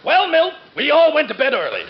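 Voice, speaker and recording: High, excitable, long-winded neighbor in radio comedy. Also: male voice, brisk, heavily acted. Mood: cheerfully rambling. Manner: rambling, excitable, seeking confirmation. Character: long-winded, oblivious neighbor man, cheerfully overbearing storyteller. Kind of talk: 1940s-50s radio comedy acting. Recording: noisy, muffled vintage radio recording.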